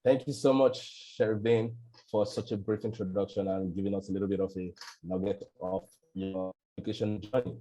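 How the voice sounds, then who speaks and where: Mellow-voiced male webinar moderator. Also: lowish voice, brisk, rough recording. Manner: relaxed, repeats "you know" often. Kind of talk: online panel moderation.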